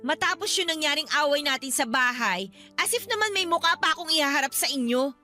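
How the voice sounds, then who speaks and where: Hurt proud little sister in a radio drama, super high bright voice, sarcastic, throws accusations. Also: female voice, expressive, a little fast, faint music behind. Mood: defensive, bitter. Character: hurt, defiant younger sister, proud and broke. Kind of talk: Tagalog radio drama acting.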